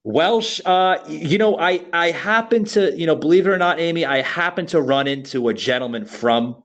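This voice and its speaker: A man from Florida co-hosting a podcast interview, talking in a halting way full of restarts and "you know" fillers. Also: on the high side, fairly bright tone. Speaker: podcast co-host. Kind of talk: casual interview chat.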